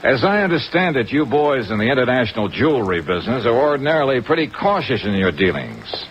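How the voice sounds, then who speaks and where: An actor playing a shrewd, wry American investigator in an old-time radio drama, questioning in a hard-boiled way. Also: male voice, mid-range voice, fast, slightly rough. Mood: shrewd, pressing. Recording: dull, noisy vintage radio recording, steady rain.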